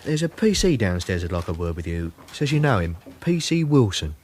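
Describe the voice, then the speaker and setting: A man's voice: low voice, big pitch swings, fairly quick, darkish tone, a little hiss or hum. An actor with a British accent playing a chatty, joking male detective constable in a radio drama. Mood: teasing. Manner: light, joking. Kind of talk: 1980s radio drama acting.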